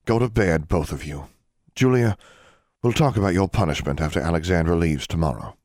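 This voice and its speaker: A man's voice: low-pitched, darkish tone, no echo, clean studio sound, natural voice. Man voicing a stern, commanding mother. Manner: firm, formal, measured. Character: stern, authoritative mother scolding girls.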